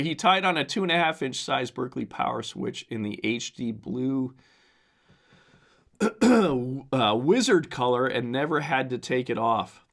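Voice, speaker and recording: A man with a hoarse, raspy, strained voice hosts a casual podcast on his own. He rambles loosely with plenty of fillers and reads passages aloud in an easygoing, mid-pitched tone. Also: fairly bright tone. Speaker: podcast host. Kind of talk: casual solo podcast commentary.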